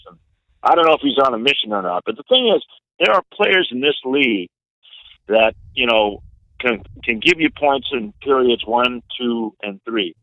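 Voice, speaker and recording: Male sports announcer as interview guest, lowish voice, measured and hesitant analysis, thin phone-quality sound. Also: dry recording. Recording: narrow, tinny phone-like line.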